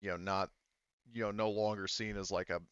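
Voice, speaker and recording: A man casually running a tabletop game as game master, talking in a halting way and often rephrasing as he explains. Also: on the low side, little pitch movement, smooth, no room sound, highs cut off.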